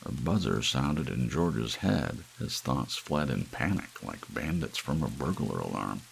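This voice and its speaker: Extremely deep, gravelly male ASMR narrator. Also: sing-song, measured pace. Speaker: audiobook narrator. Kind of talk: scripted story narration, ASMR. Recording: faint rain hiss throughout. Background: soft rain.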